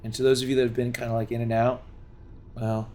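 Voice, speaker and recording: A man's voice: medium pitch, little pitch movement, fast talker, a little mellow, a little hiss or hum. A game streamer from Los Angeles talks to his viewers in a long, earnest, rambling monologue full of restarts and fillers, speaking from home.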